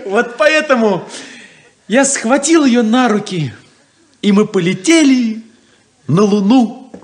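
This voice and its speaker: A man playing an excitable, boastful, lovestruck younger brother in a 1970s Soviet radio play, with a teasing, drawn-out delivery. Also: very high voice, heavily acted. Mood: dreamy, elated.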